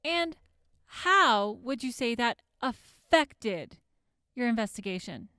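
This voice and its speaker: Deliberate, high-voiced woman prosecutor. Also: lively intonation, pushed and bright, no echo, clean studio sound, full character voice. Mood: probing, measured. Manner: deliberate, pointed, formal questioning. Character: composed, probing woman prosecutor in a wasteland town court. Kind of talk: audio drama courtroom acting.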